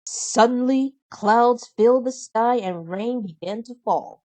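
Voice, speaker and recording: A woman reads a children's story aloud. She takes it at a slow, measured pace, in the style of scripted storybook narration. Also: fairly high pitch, dark tone, no room sound. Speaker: storybook reader.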